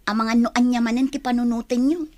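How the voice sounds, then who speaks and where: Woman acting young daughter in an audio drama, high voice, plaintive n emotional, restless to leave home. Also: fairly even intonation, a little fast, a little mellow, close to an everyday voice. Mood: pleading, tearful.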